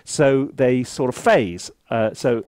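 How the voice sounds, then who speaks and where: A man's voice: mid-range voice, lively intonation, slow pace, darkish tone, slight background noise. A man giving a casual tutorial commentary as a composer at work, thinking aloud in a playful, self-deprecating way. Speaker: composer reviewing a sample library.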